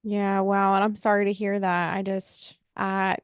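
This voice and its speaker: A woman's voice: medium pitch, completely flat delivery, unhurried, smooth, no room sound, studio quality. Friendly woman hosting a podcast. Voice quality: friendly, encouraging questions with fillers. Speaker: podcast host. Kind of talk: podcast interview.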